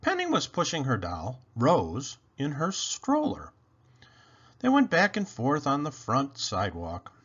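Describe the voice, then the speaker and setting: A man reading a children's story aloud in an expressive, animated, deliberate way. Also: medium pitch, husky, lo-fi sound. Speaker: storybook read-aloud host.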